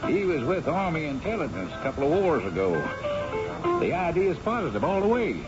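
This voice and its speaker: Radio drama casting: a chatty, folksy pilot, eager and a bit self-important. Animated pitch, muffled vintage sound. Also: male voice, fairly quick, loud music behind the voice. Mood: proud, chatty. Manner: informal, eager, talkative. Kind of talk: old-time radio drama acting. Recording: dull old radio recording.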